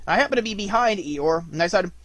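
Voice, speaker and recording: A man voicing a bouncy young tiger in a storybook, loud, emphatic and quick to protest. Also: high voice, animated, voice pushed up. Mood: defensive, cross.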